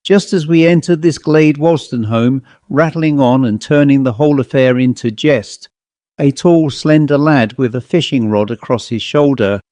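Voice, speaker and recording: A man narrating a Victorian ghost story as a scripted audiobook, in a measured, dramatic storytelling manner. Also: mid-range voice, a little mellow, no room sound.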